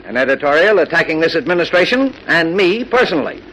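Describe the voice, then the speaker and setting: An actor playing a middle-aged, blustering and flustered city mayor and newspaper chief in 1940s radio style. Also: male voice, on the high side, big pitch swings, fast talker, bright, forceful tone, rough recording. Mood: defensive, formal. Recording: old radio recording with faint noise.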